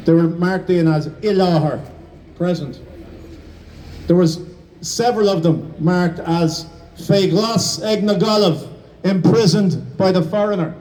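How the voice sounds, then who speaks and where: A man's voice: sits high, darkish tone, far from the mic, rough recording. An Irish-accented man speaking as master of ceremonies, giving a declamatory public address with some hesitations. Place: outdoors in front of a building.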